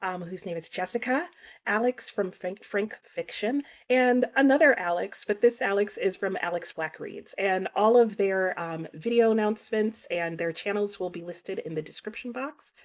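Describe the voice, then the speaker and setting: A young woman hosting her book channel, announcing a readalong in a chatty, casual way with lots of fillers and lively swings in pitch. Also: moderate pace. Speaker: booktube host.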